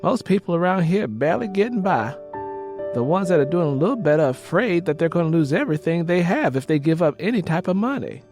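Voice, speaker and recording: A man's voice: a little high, very animated, fast, voice pushed up. A man voicing a weary, worried young church wife with a Southern US accent in an audiobook. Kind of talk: audiobook character dialogue. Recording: rain and music behind the voice.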